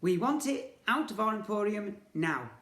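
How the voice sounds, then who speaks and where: A man's voice: high-pitched, expressive, moderate pace, a bit bright, echoey room, voice pushed up. A man voicing smug, gloating twin villain shopkeepers in a children's story, with a theatrical, boastful sneer.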